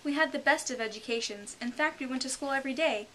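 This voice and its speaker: A woman's voice: sits high, fairly bright tone, pitched above the performer's own voice. A woman voicing a mournful, touchy old male turtle creature, telling his story plaintively. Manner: plaintive, easily offended, slow storytelling. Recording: hissy home recording.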